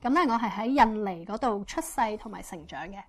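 A woman interprets a church testimony sentence by sentence, speaking quickly and fluently with frequent fillers. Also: mid-range voice, lively intonation. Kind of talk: consecutive interpretation.

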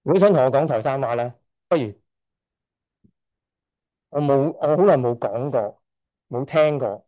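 A man's voice: mid-range voice, normal speed, dark tone, rough recording. A middle-aged man from Hong Kong giving a church testimony, hesitant, often correcting himself and chuckling shyly. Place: church service.

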